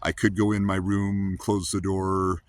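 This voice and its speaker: Man with a low, rumbly voice. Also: level tone, a little slow. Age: 50s. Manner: rambling, earnest, frequent restarts. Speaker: host. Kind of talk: casual monologue.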